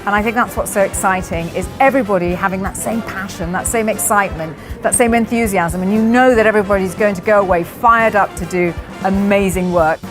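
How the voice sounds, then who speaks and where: A woman's voice: mid-range voice, fairly quick, hardly any pauses. Enthusiastic woman over music. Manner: enthusiastic, gushing. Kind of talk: casual interview remarks.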